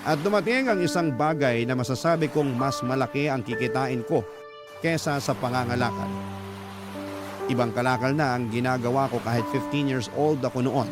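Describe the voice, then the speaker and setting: A man's voice: mid-range voice, moderate pace, lightly acted. A man acting a grieving young narrator who tells his life story earnestly and sorrowfully in a radio drama. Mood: sorrowful, reflective. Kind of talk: dramatized first-person letter narration. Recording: loud music bed under voice.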